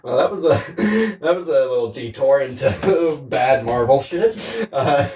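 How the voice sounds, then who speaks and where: A man's voice: mid-range voice, lively intonation, measured pace, darkish tone. A man co-hosting a comedic film podcast, chatting casually in a giggly, slangy way with rambling riffs. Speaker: podcast co-host. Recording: roomy echo, distant from mic.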